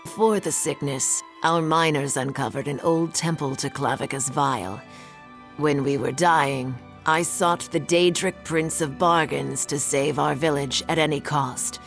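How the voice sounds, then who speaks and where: Undead female elder for fantasy game: grave, regretful, low register. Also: fairly even intonation, unhurried, soft background music.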